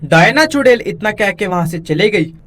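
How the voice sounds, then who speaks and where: A man narrating a scripted animated story for children as its storyteller. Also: high-pitched, moderate pace.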